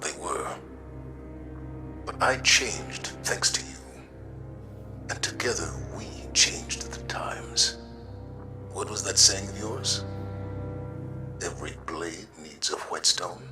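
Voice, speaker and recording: An actor playing a mature, earnest military leader in a game cutscene, speaking in a measured, persuasive way. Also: male voice, low-pitched. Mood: earnest persuasion. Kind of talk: video game cutscene acting. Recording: loud music behind voice.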